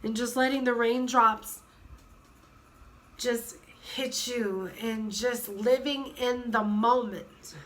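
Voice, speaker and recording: A woman in her thirties with a cold, hoarse and sniffly, chatting casually in a rambling way with many fillers and restarts. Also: a little high, steady tone, slow, a bit bright. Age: 30s. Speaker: vlogger. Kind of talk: casual chat.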